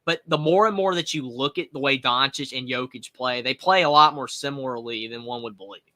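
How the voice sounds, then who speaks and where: A twenty-year-old man from North Carolina with a Southern accent, calling a game live and reading viewer questions with excitement. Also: a little fast. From North Carolina, USA. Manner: excited, rising pitch on big plays. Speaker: sports live-stream host. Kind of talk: live play-by-play reaction with viewer chat.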